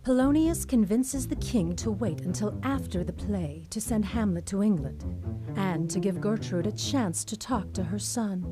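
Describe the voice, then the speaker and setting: A woman's voice: mid-range voice, moderate pace. A woman giving scripted storytelling narration as the narrator of a play. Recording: music under the voice.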